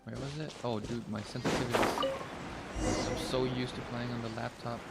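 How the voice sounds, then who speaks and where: Very low-voiced, casual female streamer. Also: fairly even intonation, normal speed, gravelly. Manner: rambling, informal, thinking aloud. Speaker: game streamer. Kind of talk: casual live gameplay commentary. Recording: loud game music behind.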